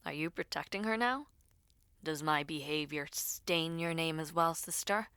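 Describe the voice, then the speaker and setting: A woman's voice: low voice, a little slow, bright, forceful tone, smooth, no room sound, full character voice. A defiant young prince, played by a woman in a dramatic audio story. The boy is proud, hot-headed and scornful, heard in a dry, close recording. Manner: scornful, challenging.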